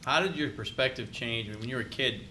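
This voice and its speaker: A man's voice: medium pitch, fast, sharp tone, slight background noise, rough recording. A man co-hosting an interview, feeling his way through a loosely worded question with "you know" and "I mean". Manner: searching, loosely phrased questions. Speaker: co-host interviewer.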